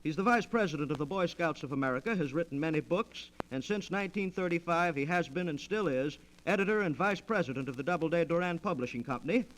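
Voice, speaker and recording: A man's voice: fairly high pitch, animated, fast, few pauses, a bit bright, poor audio. A man hosting a 1940s radio program, making formal, polished introductions on a vintage transcription disc. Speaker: radio host introducing guests. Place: radio studio, around a table.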